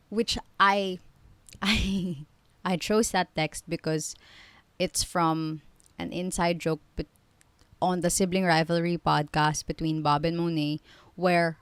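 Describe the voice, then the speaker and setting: A woman's voice: on the low side, a bit bright, clear, resonant tone, no echo. A woman, chatting casually in a rambling vlog with frequent self-corrections and giggly asides. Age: 20s. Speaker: vlogger.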